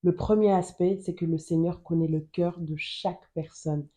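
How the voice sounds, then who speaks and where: Earnest woman sharing faith, mellow low voice. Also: fairly even intonation, normal speed. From Germany. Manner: earnest, repeats phrases for emphasis. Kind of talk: personal testimony and Bible teaching.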